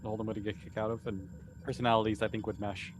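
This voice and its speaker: A man's voice: on the low side, flat delivery, fast talker, faint music behind. A man answering questions in a casual group chat, laid-back and thinking aloud. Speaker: cast member answering questions.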